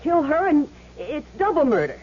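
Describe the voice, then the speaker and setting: An actress playing a tough, weary fake medium with a conscience in a seventies radio drama, speaking with emotion. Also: female voice, high-pitched, sing-song, moderate pace, darkish tone. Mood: indignant. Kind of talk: 1970s radio drama acting. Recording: dull lo-fi old radio broadcast.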